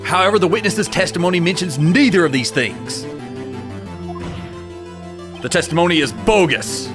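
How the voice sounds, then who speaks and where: An earnest young defense attorney, voiced by a man, lively in pitch and quick to exclaim. He stammers when cornered but presses on firmly. Soft music runs underneath. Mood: determined. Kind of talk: fan dub game voice acting. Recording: with background music.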